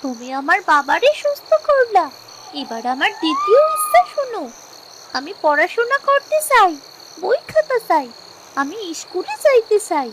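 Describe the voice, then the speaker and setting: A woman's voice: very high voice, huge pitch swings, moderate pace, background noise, full character voice. A woman voicing a kind, hopeful little village girl with an East Bengali accent in a children's cartoon. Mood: hopeful, grateful. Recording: music and insect chirps behind. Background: music, crickets.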